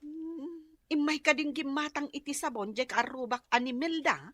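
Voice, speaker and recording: A woman's voice: high-pitched, normal speed, no room sound, lightly acted. A woman with an Ilocano accent voicing a nosy, gossipy neighbour in a comedy radio drama. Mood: amused, gossipy. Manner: gossipy, exclamatory.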